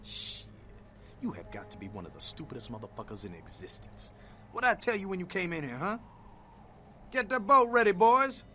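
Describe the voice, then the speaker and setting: A man's voice: sits high, big pitch swings, moderate pace, sharp tone, faint music behind, heavily acted. An actor playing a brash, foul-mouthed male thug in a video game, with a cocky, slangy, threatening manner. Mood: mocking, angry.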